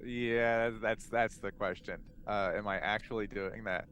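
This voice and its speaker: A man's voice: on the low side, fairly even intonation, measured pace, slight background noise. Casual man playing a tabletop game. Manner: informal, thinking out loud.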